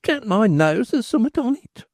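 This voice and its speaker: A tart, matronly village woman with a high, lively voice, as a male reader does her. She scolds and questions sharply. The sound is close and dry. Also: normal speed, no echo, full character voice.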